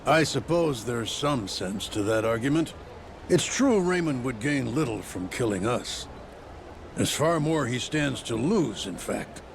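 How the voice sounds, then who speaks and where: A man's voice: mid-range voice, animated, a little slow. An actor playing an anxious, formal ship's crewman in a video game, fussy and wordy, with noise around him. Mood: apprehensive. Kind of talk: video game dialogue acting. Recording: clear outdoor ambient noise.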